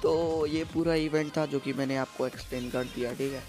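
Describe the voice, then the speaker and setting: A man, a gaming YouTuber talking through a game event as a voice-over, steady and explanatory, saying "okay" again and again. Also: fairly high pitch, moderate pace, over music. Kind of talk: screen-recorded game guide.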